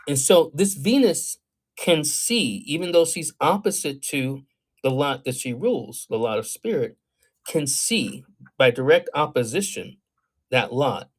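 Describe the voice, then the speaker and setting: A man's voice: fairly high pitch, animated, a little slow, breathy edge. A man giving astrology readings on a livestream, talking in a thoughtful, wandering way with many fillers, reading viewer comments aloud and reacting to them. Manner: meandering, thoughtful, many ums and you-knows. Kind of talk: livestream talk answering chat.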